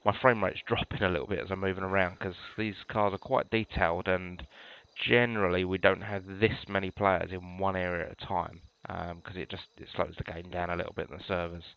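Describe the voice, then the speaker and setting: Congested British man with a gravelly low voice. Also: a little fast, hardly any pauses, dull audio. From United Kingdom. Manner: relaxed, rambling with many ums and restarts. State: congested from a cold. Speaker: gamer showing police cars. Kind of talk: casual gameplay walkthrough.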